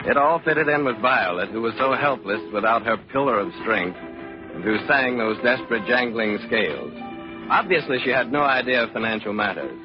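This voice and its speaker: A man's voice: medium pitch, expressive, moderate pace, close to an everyday voice. An actor playing a wry, defensive murder suspect in a 1940s radio suspense drama, telling his own story. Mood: brooding, wry. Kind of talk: 1940s radio suspense acting, hard-boiled narration. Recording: muffled vintage radio broadcast, music behind.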